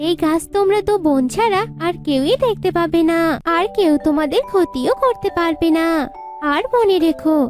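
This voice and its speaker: Very high-pitched kindly fairy played by a woman. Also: lively intonation, normal speed, a little mellow. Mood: instructing. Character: kind, grateful wish fairy freed from a flower. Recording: music behind the voice.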